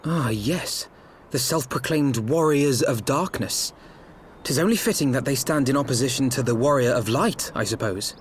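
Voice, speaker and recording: An actor playing a thoughtful scholar in a fantasy game, with formal, deliberate, archaic phrasing. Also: male voice, mid-range voice, a little hiss or hum, lightly acted. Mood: pensive.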